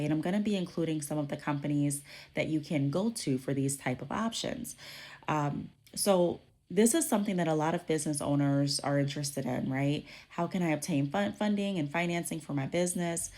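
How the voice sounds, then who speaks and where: A woman with a low voice, stuffy and congested from a cold, talks through a solo podcast episode. She explains things in a relaxed, conversational way, pausing on fillers and checking in with her listeners. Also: vocal fry. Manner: conversational, frequent "um" and "okay?" check-ins. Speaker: podcast host.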